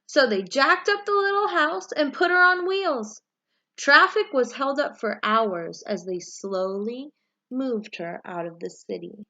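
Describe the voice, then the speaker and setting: A woman reading a children's picture book aloud, with expressive, deliberate storytelling phrasing. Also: high-pitched, muffled recording. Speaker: story reader, read-aloud for young children.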